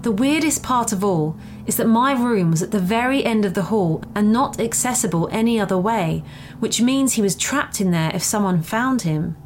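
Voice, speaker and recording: A woman narrates a scary first-person story from a script. Her voice sits at an average pitch for a woman. There is faint noise in the recording behind her. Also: lively intonation, normal speed.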